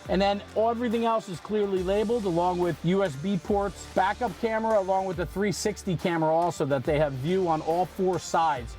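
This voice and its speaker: A man's voice: fairly high pitch, darkish tone. A man hosting an equipment walkaround in a conversational, explanatory manner. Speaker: host presenting fire apparatus walkaround. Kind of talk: on-camera walkaround presentation.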